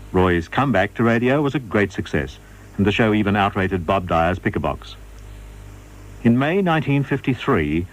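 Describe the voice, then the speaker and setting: A man's voice: on the low side, low-quality recording. A man reading scripted narration for a radio documentary.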